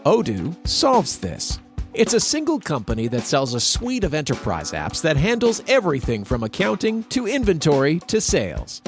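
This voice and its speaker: Very animated man reading an ad. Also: a little high, moderate pace. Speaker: advertisement announcer. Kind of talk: scripted ad read. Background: light music bed.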